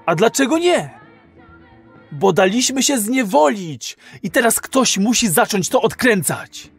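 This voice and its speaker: A persuasive rebel in an audio drama, high-voiced and very animated. He talks slowly and emphatically, urging his friend to act. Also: gravelly, husky, faint music behind, heavily acted. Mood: impassioned.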